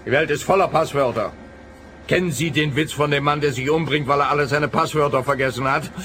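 An actor playing a fussy, rambling, pompous police officer in a comic detective radio play. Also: male voice, fairly high pitch, a little fast, fairly bright tone, a little hiss or hum, lightly acted. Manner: fussy, digressive, self-amused.

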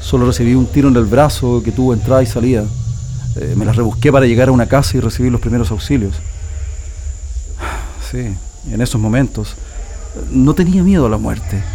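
A man's voice: medium pitch, dark tone, a little hiss or hum, natural voice. A Chilean man playing a former printing worker and resistance militant telling his story in a first-person radio drama. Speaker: narrator giving testimony in a radio drama.

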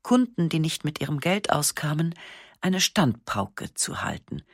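Husky, dry-voiced woman narrating. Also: sits low, no echo. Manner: calm, measured, matter-of-fact delivery with slow pauses. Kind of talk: literary first-person narration, radio reading.

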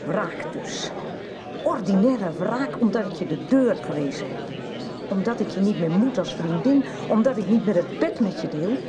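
A woman's voice: medium pitch, animated, normal speed, close to an everyday voice. A woman playing a defiant, broke artist and scornful ex-lover in a radio soap, blunt in manner. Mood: accusing. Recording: loud busy public-place background. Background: busy crowd noise.

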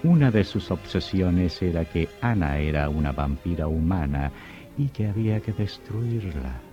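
A man's voice: deep voice, animated, unhurried. A man playing an ominous storyteller, narrating an old radio horror drama in a dramatic, literary style. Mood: grave storytelling. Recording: dull old radio recording, loud music.

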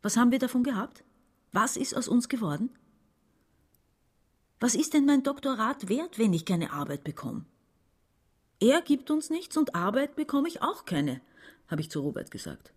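A woman's voice: a little high, very animated, normal speed, pauses often, breathy edge. An actress playing a bitter, domineering woman in her forties in a radio play, insistent and condescending. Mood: bitter, confiding. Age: 40s. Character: domineering, bitter sister masterminding a robbery. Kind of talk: radio play dialogue and monologue.

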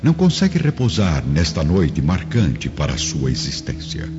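A man's voice: a bass voice, huge pitch swings, normal speed. A man narrating a radio drama in a dramatic, scripted style. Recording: dull lo-fi audio under loud music.

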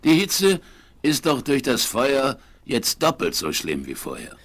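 An actor playing a gruff, incredulous Western sidekick in a radio play, blustery and exclamatory. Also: male voice, medium pitch, wildly swooping pitch, a little slow, a bit bright, heavily acted. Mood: mocking disbelief. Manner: blustery, exclamatory, English oaths. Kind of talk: 1970s radio play acting.

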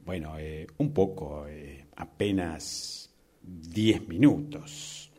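A man's voice: a bass voice, very slow, dark tone, slightly rough, heavily acted. A man acting in a radio drama as a defensive, flustered office employee, formal and hesitant in his protests. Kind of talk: radio drama workshop dialogue.

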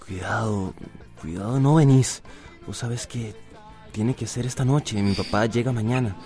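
A persuasive, impatient young boyfriend played by a male actor in a radio drama. He coaxes in a fairly low voice with a Costa Rican accent, over clear music. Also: normal speed, mellow, close to an everyday voice. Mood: pressing. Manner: coaxing.

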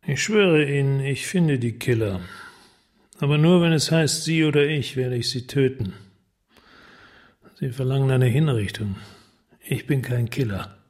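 An actor playing a hard-boiled, defiant police detective in a radio drama, speaking in a terse, blunt way. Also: male voice, mid-range voice, unhurried, long pauses, darkish tone, lightly acted. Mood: guarded, resolute.

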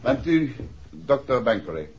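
An actor playing a decisive, commanding gentleman detective in a 1960s radio drama. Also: mid-range voice, big pitch swings, a little slow, breathy edge, full character voice. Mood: insistent. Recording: dull vintage radio drama recording.

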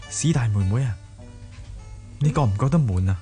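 Listless young gentleman over music, man acting. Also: mid-range voice, lively intonation, normal speed, close to an everyday voice. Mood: bored, coaxing. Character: pampered young gentleman, listless and eager for amusement. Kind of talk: Cantonese radio drama acting. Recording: music behind the voice.

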